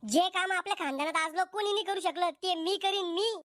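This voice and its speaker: A man's voice: extremely high pitch, a little fast, a bit bright, no room sound, clean studio sound. A man voicing a defiant, lovestruck college boy in a comic cartoon, stubborn and pleading, with a Vidarbha Marathi accent.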